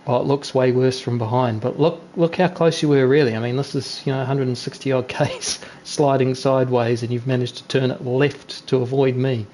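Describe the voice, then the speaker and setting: A man's voice: mid-range voice, steady tone, a little fast, few pauses, darkish tone, slight background noise. A man, chatting casually and teasing other drivers as he streams an online race on a gaming headset. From New Zealand. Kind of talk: casual live-stream commentary and chat.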